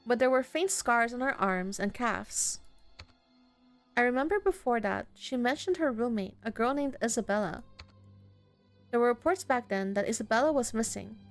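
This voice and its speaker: A woman's voice: fairly high pitch, animated, moderate pace, soft background music. A woman reading a visual novel aloud as she plays, narrating expressively and breaking into casual, exclaiming commentary. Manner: reactive, exclaiming, casual swearing.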